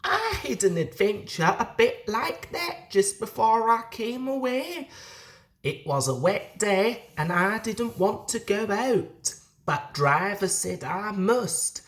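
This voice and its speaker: A woman's voice: medium pitch, sing-song, slow pace, voice pushed up. A woman voicing a proud, chatty male steam engine telling of adventures in a children's storybook. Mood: dramatic, animated. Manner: boastful, dramatic storytelling.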